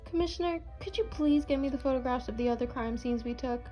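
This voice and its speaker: A woman's voice: sits high, fast talker, warm tone, lo-fi sound, faint music behind, natural voice. A woman playing an eager, determined young reporter in an amateur superhero radio play. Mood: inquisitive, urgent.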